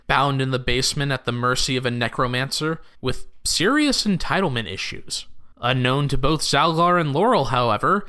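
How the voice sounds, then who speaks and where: Male storyteller for audio drama, crisp bright tone, slightly high pitch, mock-solemn and theatrical. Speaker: narrator. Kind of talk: scripted dramatic storytelling narration.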